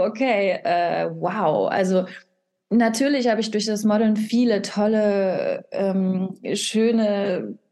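A woman's voice: mid-range voice, darkish tone. A young woman talking candidly as a podcast guest, rambling and dropping English phrases into her speech. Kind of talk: casual podcast conversation.

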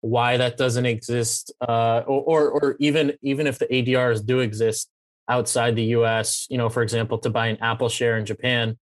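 A man's voice: medium pitch, level tone, moderate pace, dry recording. A man hosting a conversational business interview, rephrasing his questions as he goes. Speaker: show host, interviewer.

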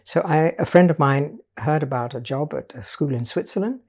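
Older woman telling her life story, mellow and husky. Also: deep voice. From Portugal. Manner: measured, storytelling, patient explaining. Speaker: guest artist. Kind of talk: reflective life-story interview.

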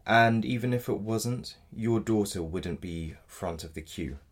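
An actor in an audio drama playing a polite but blunt defence solicitor, gravelly and measured in his delivery. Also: lowish voice. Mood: blunt, resigned. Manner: measured, matter-of-fact, formally polite. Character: apologetic but blunt male defence solicitor in dystopian future.

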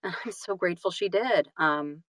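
A woman speaks as a guest in a casual interview. Her voice sits a little low and the sound is very dry. She is effusive, often breaking off and rephrasing as she goes. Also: fairly bright tone, no room sound. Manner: effusive, halting and self-correcting. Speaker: interview guest, singer and audiobook narrator.

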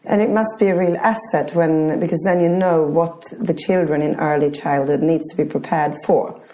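A woman's voice: low-pitched, fairly even intonation, moderate pace, dark tone. Friendly woman podcast host interviewing. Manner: friendly questions with fillers.

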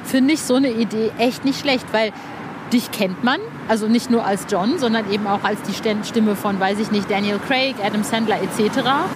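A woman's voice: on the high side, moderate pace, noisy background. A woman hosting a podcast interview in a casual, chatty way, informal and eager in her emphasis. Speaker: podcast host, music journalist.

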